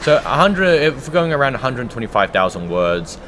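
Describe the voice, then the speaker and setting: A man's voice: mid-range voice, very animated, a little fast, a bit bright, slight background noise. A man giving conversational, explanatory answers as an audiobook producer being interviewed.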